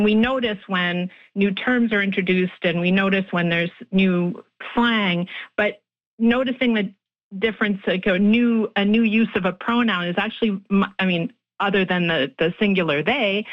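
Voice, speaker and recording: A woman's voice: medium pitch, muffled recording. A woman linguist explaining her research in a radio interview, thoughtful, with frequent restarts and fillers. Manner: thoughtful, many restarts and fillers, laughs easily. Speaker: linguist guest.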